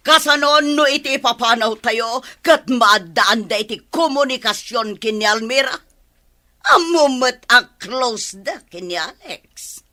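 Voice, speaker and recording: A woman's voice: fairly high pitch, pushed and bright, exaggerated voice. A woman playing an imperious, scheming rich mother in a radio drama, with a Filipino accent and a haughty, commanding manner. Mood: irritated, sharp. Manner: haughty, commanding, drawn-out delivery. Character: imperious, scheming wealthy middle-aged mother, cold and domineering.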